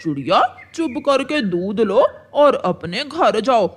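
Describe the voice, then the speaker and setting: A man's voice: high voice, expressive, takes it slowly, fairly bright tone, full character voice. A man voicing a selfish, swaggering drunkard crow in a cartoon story, with a bullying manner. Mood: irritated, threatening. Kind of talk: Hindi cartoon story voice acting.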